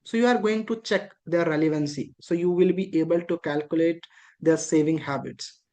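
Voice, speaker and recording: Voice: medium pitch, moderate pace, mellow. Patient instructor explaining step by step. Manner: patient, repetitive explaining with frequent rhetorical questions. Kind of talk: explaining a lesson.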